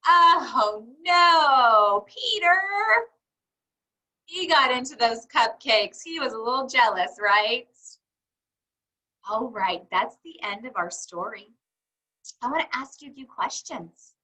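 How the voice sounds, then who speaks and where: A woman reading a storybook to young children, encouraging them and pausing for answers to her questions. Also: on the high side, animated, sharp tone, poor audio. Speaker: read-aloud teacher for young children.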